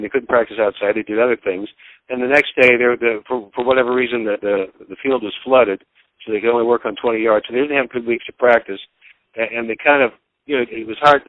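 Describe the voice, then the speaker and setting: A man giving casual sports commentary as an interviewed broadcaster, in a halting, rambling, folksy way. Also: mid-range voice, dry recording, narrow, tinny audio.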